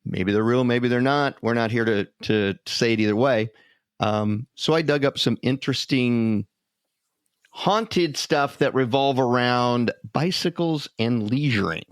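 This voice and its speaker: A man's voice: medium pitch, unhurried, smooth, no room sound. Casual man podcasting, dry close sound. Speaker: podcast co-host. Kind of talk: casual chat and storytelling.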